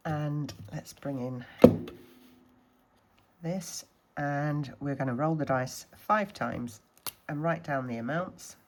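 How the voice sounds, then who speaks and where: A woman from the Scottish Highlands, a little snuffly from a cold, chatting casually through her budgeting challenges and counting money aloud. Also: slow pace, long pauses, background noise. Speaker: budgeting vlogger.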